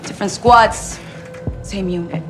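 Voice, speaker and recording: A woman's voice: medium pitch, a little slow, over music, natural voice. An actress playing a proud, defiant ex-military female cartel boss in a game, with a Mexican accent and a commanding way of talking. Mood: proud, taunting. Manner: defiant, commanding, bilingual code-switching. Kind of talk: cinematic game acting.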